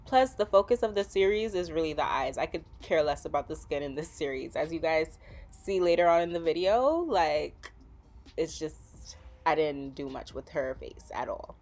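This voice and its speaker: Chatty woman, low voice. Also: moderate pace. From Trinidad and Tobago. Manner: chatty, relaxed, with frequent fillers. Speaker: makeup vlogger. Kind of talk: casual voice-over tutorial.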